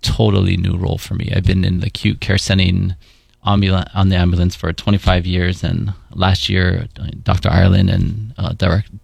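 Guest voice: deep, gravelly man in his forties from Hawaii, easygoing and unhurried, dry studio sound. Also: no echo. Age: 40s. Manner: relaxed, thoughtful, with many fillers. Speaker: guest, paramedic supervisor. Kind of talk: casual interview in studio. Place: radio studio.

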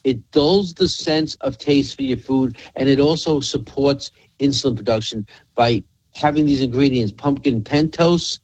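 A man's voice: mid-range voice, unhurried, darkish tone, slightly rough, dry recording, rough recording. A man hosting a health radio show, explaining in an emphatic way and repeating phrases for effect. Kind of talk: health talk radio with product pitch.

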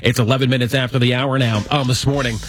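A man's voice: medium pitch, fairly even intonation, fast, fairly bright tone. A man with an American accent reading scripted radio news as an anchor. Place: dry studio.

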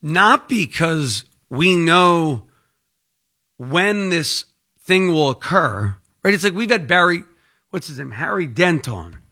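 Guy doing money talk show, sharp bright voice, slow and rambly, kinda thinking out loud. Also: fairly high pitch, lively intonation. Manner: rambling, conversational, thinking aloud. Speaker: financial advice show host. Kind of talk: unscripted financial talk.

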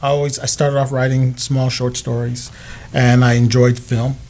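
A man's voice: medium pitch, level tone, normal speed, a little mellow. A man from Chicago, a film director guest on a radio show, talking earnestly and encouragingly. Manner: earnest, encouraging, repeats for emphasis. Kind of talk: interview answers.

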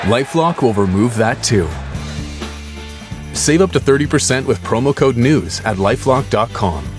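A man reading a scripted commercial in a measured, informative way. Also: medium pitch, lively intonation. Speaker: ad announcer. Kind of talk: scripted ad read. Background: music.

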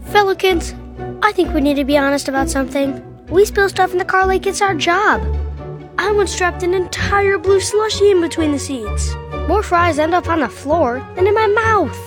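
A woman's voice: extremely high pitch, measured pace, music in the background. A woman voicing an earnest, messy little kid giving a mock-serious speech in a radio ad. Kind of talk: scripted radio ad.

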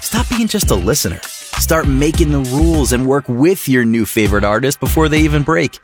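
A man reading an app ad in a hyped sales pitch. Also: big pitch swings, moderate pace, music in the background. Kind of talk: scripted ad read.

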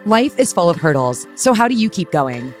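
A woman's voice: fairly low pitch, very animated, normal speed, faint music behind. A woman narrating a scripted promo for a sports podcast.

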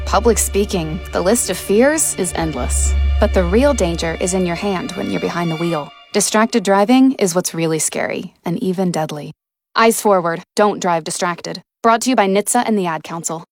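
A woman narrating a scripted public service announcement in a serious, measured tone. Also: lowish voice, a little mellow, soft background music.

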